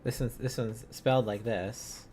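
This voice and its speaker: A man's voice: mid-range voice, steady tone, unhurried, noisy background. A man live-streaming a casual lesson, thinking aloud in a hesitant, rambling, playful way.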